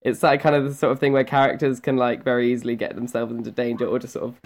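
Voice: mid-range voice, level tone, very fast. A voice actor chatting casually in an interview, rambling and hesitant with a giggly edge.

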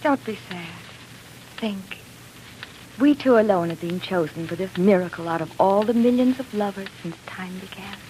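A woman's voice: medium pitch, moderate pace, darkish tone, slight background noise, close to an everyday voice, poor audio. An actress playing a devoted, wistful young woman in love, speaking earnestly in 1940s radio drama style. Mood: tender, sad. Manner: earnest, romantic delivery.

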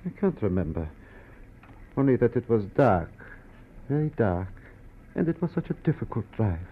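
A man's voice: mid-range voice, sing-song, normal speed, slightly rough. An actor playing a distraught ballet impresario near breakdown in 1940s radio drama style, theatrical and broken in his phrasing, repeating words. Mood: anguished, weary. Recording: old radio broadcast, thin phone-like sound.

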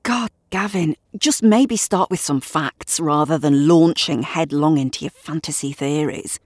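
Brief: narrator doing a sharp, prim woman, scolding and curt. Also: deep voice, measured pace, no room sound, clean studio sound.

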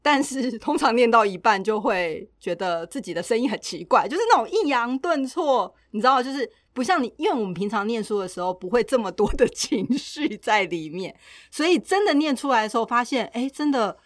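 A woman's voice: on the high side, expressive, fairly quick, dry recording, clean studio sound. A woman with a Taiwanese accent co-hosting a podcast, talking casually and reflectively in everyday colloquial speech. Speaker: podcast co-host.

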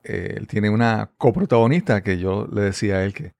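A man's voice: lowish voice, expressive, fairly quick, gravelly, darkish tone, no echo. A man hosting a podcast interview, talking conversationally with frequent fillers and restarts. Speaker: podcast host.

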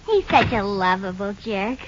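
An actress playing a chatty, sassy band musician in a 1940s radio drama, with an American accent and affected refinement that slips into slang. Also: female voice, medium pitch, very animated, measured pace, noisy background. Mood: confiding. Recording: dull old radio broadcast recording.

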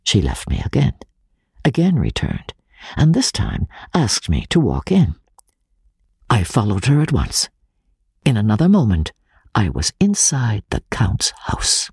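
Female literary narrator; deep, very raspy voice, theatrical delivery with sweeping intonation, dry close sound. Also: unhurried, pauses often, no echo. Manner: theatrical, expressive. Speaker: audiobook narrator, podcast host.

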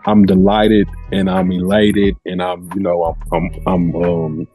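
Male guest, deep voice, slangy, effusive, repeats himself, faint music in back. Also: flat delivery, dark tone. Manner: halting, repetitive, effusive, slangy. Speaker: podcast guest. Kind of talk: casual podcast chat.

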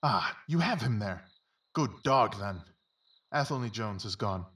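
A man playing a brisk, commanding, observant gentleman detective in an audio drama, with clipped, formal, instructive phrasing. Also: fairly low pitch, expressive. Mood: wry. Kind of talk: audio drama acting of Victorian story.

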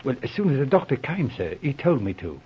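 A deferential butler in an old radio mystery. His voice is husky and subdued, his speech servile and plain. The recording is dull and dated. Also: mid-range voice, big pitch swings, fairly quick. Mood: subdued, grave. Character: deferential, shaken butler giving testimony. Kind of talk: 1940s radio drama acting.

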